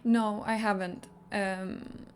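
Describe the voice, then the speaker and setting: A woman's voice: medium pitch, flat delivery, slow pace. A woman from Denmark, a native Danish speaker, chatting casually and a little hesitantly as she live streams a game. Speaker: livestreamer. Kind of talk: casual live stream chat while gaming.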